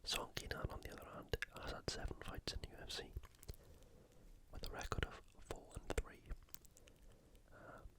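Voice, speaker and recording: A speaker from the UK giving ASMR sports commentary in a soft, unvoiced whisper, halting now and then while thinking aloud. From United Kingdom. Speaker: ASMR host previewing fights.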